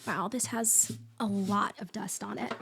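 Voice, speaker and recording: A woman playing an upbeat, teasing, affectionate young best friend in a casual, playful and expressive ASMR roleplay, speaking one side of a conversation. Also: mid-range voice, unhurried, gravelly. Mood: teasing, surprised.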